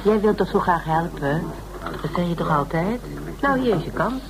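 An actress in a Dutch radio drama playing a brisk, scheming woman sleuth who gives orders breezily and matter-of-factly. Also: lowish voice, animated, a crowd in the background, lightly acted. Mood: breezy, coaxing. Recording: noisy old radio play recording.

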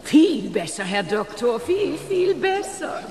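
An actress playing a small hunchbacked lab assistant in a German radio play, servile and obsequious, echoing the master. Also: female voice, high-pitched, big pitch swings, slow pace, darkish tone, slight background noise, heavily acted. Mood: fawning. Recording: roomy echo.

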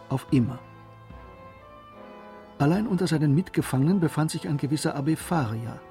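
A man narrating a historical radio drama as a composed storyteller, in a measured, literary manner. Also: medium pitch, warm tone, close to an everyday voice.

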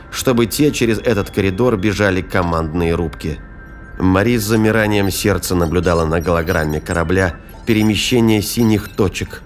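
Very deep male audio drama narrator.

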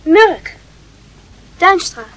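A man's voice: very high-pitched, big pitch swings, very slow, fairly bright tone, pitched above the performer's own voice, full character voice, low-quality recording. A man voicing a small, shy schoolboy who gives terse, clipped answers in an audiobook. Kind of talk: audiobook character voice.

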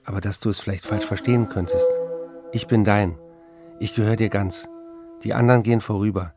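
Male actor as troubled, remorseful writer, intimate and measured, gravelly delivery, radio-play letter reading with music underneath. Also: lowish voice, steady tone, close to an everyday voice. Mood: tender confession. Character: troubled male writer confessing to his wife, tender, remorseful.

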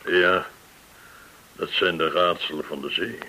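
A man's voice: sits low, fairly even intonation, unhurried, pushed and bright, rough recording. An actor in a radio play playing a courteous, shrewd male go-between, formal, learned and insinuating. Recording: hissy old radio recording.

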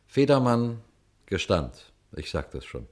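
A low male voice plays a shrewd police detective in a radio crime drama. He speaks deliberately and pointedly, unhurried as he lays out his case and turns directly to his listeners. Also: very animated. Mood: calm, explanatory. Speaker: detective and narrator. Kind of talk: German radio crime drama acting and narration.

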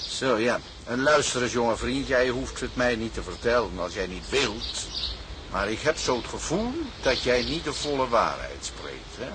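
An actor playing an older, thrifty sea captain in a radio drama, speaking in a measured, paternal way with hums and repeated murmurs. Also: male voice, medium pitch, expressive, background noise, close to an everyday voice. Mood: curious, probing.

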